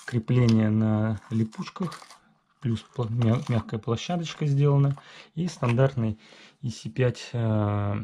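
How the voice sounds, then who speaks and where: Man, mellow voice, casual hobby walkthrough, lots of fillers, faint hiss behind. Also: medium pitch. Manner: halting, many fillers and self-corrections. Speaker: RC hobby reviewer. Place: indoor garage workshop.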